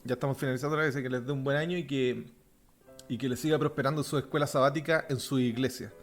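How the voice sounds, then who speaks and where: A man with a softened Chilean accent hosting a religious podcast, chatting informally and offering blessings to listeners. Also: mid-range voice, fairly even intonation, fast talker, soft background music. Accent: softened Chilean Spanish. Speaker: podcast host. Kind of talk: casual religious podcast chat.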